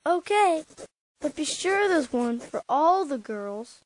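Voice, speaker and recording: A woman playing an eager, friendly young schoolboy in an animated children's storybook game. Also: sits high, slow, slight background noise, exaggerated voice, poor audio. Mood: earnest, pleased.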